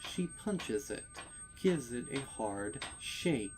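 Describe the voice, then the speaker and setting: A man narrating a dark story with intense, theatrical delivery and deliberate phrasing. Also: medium pitch, expressive, music in the background. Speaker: fan fiction narrator. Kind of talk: dramatic scripted horror story narration.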